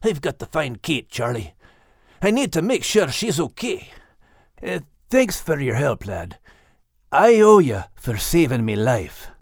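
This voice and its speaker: Scottish dog hero for a kids audiobook, folksy, really swoopy pitch, dry close sound. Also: male voice, a little slow, dry recording, full character voice. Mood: earnest, urgent. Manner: animated, folksy. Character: earnest, grateful male Scottish dog hero.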